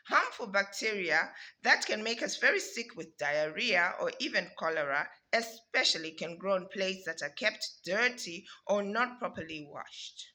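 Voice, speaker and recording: A woman from Zambia with a Zambian accent, reading a children's picture book aloud with lively emphasis and the odd hesitant filler. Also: medium pitch. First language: Zambian language. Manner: animated, stretching words for emphasis, with hesitant fillers. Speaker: storybook reader.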